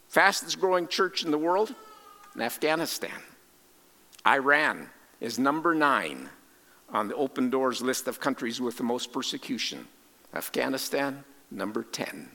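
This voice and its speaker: A man preaching a sermon as a missionary, speaking deliberately and emphatically in short phrases with pauses, in a large reverberant space. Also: medium pitch, very animated, creaky, sharp tone. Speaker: preacher and cross-cultural missionary. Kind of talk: sermon with scripture quotations and rhetorical questions.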